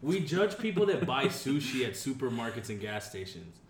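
A man's voice: medium pitch, sing-song, moderate pace. A man co-hosting a casual podcast, joking and swearing, talking loosely with lots of fillers. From Dominican family. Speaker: podcast co-host. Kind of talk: casual chat. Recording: echoey room with faint hiss.

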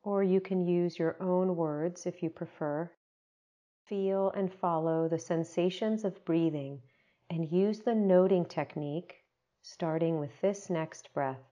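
A woman leading a guided meditation, giving gentle, unhurried instructions with long pauses. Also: on the low side, lo-fi sound.

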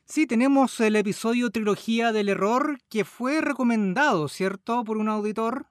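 A man's voice: very high voice, normal speed, no room sound. Chilean man, dry close recording. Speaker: podcast co-host. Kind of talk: casual chat.

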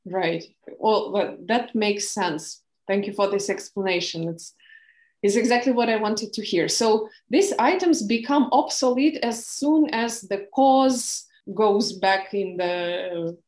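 A woman's voice: mid-range voice, takes it slowly, a little mellow, echoey room. A woman host with a Ukrainian accent, a native Ukrainian speaker, asking interview questions and searching for words as she clarifies. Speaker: podcast host.

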